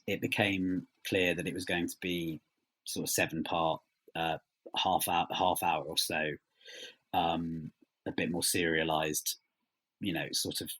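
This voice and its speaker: A man answering interview questions as a writer, thoughtful and hesitant, often rephrasing as he goes. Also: sits low. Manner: hesitant, halting phrasing with many trailing rephrasings.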